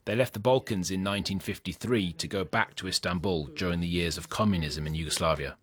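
A man whose first language is Turkish answers calmly in English, as the chairman of a business group. His voice sits very low and steady. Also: fast. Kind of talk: interview answers.

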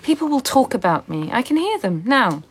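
Radio drama: anguished, bitter Soviet lover, female, volatile, very animated delivery, slight background noise. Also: a little high, normal speed. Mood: wistful, bitter.

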